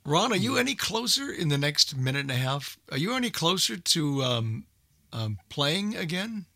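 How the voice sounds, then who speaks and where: A man's voice: fairly high pitch, big pitch swings, moderate pace, sharp tone, no echo. A man hosting a radio show in casual panel chat, with dry, bantering remarks.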